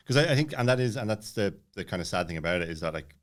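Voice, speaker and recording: A man's voice: on the low side, expressive, very fast. A man in his late thirties telling personal stories casually as a podcast guest, rambling with many false starts. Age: late 30s.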